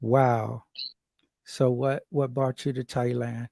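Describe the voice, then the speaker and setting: A man's voice: medium pitch, little pitch movement, slow, creaky, mellow, dry recording, clean studio sound. A man interviewing a guest, asking short, hesitant questions. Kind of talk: casual interview.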